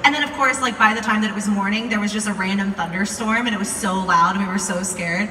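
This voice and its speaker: A woman's voice: medium pitch, fast talker, bright, forceful tone. A young American woman tells a story casually to a live audience, chatty and informal, recorded from a distance in a large room. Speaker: video creator telling a story to a live audience. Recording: echoey hall, distant mic, some noise.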